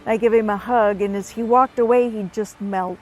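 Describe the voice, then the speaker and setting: A woman's voice: medium pitch, fairly even intonation, moderate pace, lo-fi sound, a little hiss or hum. A woman telling personal stories in a documentary interview about her work as a ministry host. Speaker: military hospitality ministry worker. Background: background music.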